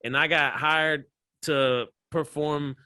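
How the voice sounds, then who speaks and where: Bright-voiced Southern comedian telling stories. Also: male voice, on the high side, slow, smooth, no room sound. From American South. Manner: animated storyteller, laughs often, acts out voices. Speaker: comedian guest. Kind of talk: casual interview chat.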